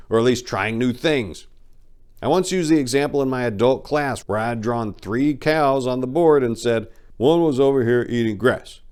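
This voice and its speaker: A man narrating a first-person memoir as a dramatic reading, with an expressive storytelling delivery and emphatic swings. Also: mid-range voice. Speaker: audiobook narrator.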